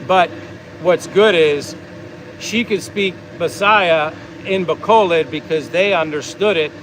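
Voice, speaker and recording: A man's voice: on the high side, slow pace, fairly bright tone, low-quality recording. Chatty American man over street noise. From United States. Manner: talkative, easygoing, rambling. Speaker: vlog host reading viewer comments. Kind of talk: casual livestream chat.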